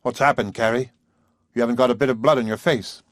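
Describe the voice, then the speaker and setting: A man voicing a calm, steady country doctor speaking plainly to his wife. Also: medium pitch, fast, dry recording, clean studio sound, natural voice. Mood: soothing.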